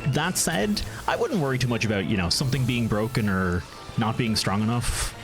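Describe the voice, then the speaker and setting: A man's voice: medium pitch, lively intonation, normal speed, over music. A man with a County Meath Irish accent, streaming a game and chatting casually with his viewers in an easygoing, rambling way. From Ireland. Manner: easygoing, rambling, answers chat, frequent restarts. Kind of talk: casual live-stream chat while gaming.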